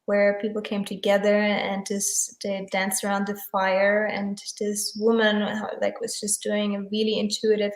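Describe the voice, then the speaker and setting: German-native woman, casual interview guest; even, monotone delivery, halting; echoey video-call sound. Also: medium pitch. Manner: hesitant, many fillers and restarts. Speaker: guest, tarot deck creator and photographer.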